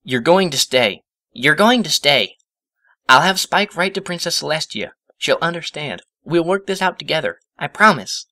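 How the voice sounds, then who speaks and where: Man doing an earnest, high-pitched bookish girl pony. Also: lively intonation, normal speed, pushed and bright. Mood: reassuring. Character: earnest, bookish young female cartoon pony.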